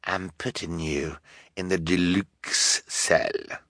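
A man voicing a sneering, menacing villain who poses as a detective in an audiobook, with a drawn-out, gloating delivery. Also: sits low, fairly even intonation, a bit bright, no room sound, studio quality, full character voice. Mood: smug menace. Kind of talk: audiobook character voice.

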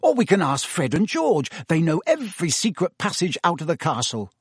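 A man voicing an offhand, easily excited teenage boy in an audiobook. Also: a little high, a little fast, slightly rough, dry recording, studio quality, pitched above the performer's own voice, exaggerated voice. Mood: spirited. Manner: offhand, dreamy and spirited. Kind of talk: audiobook character voice.

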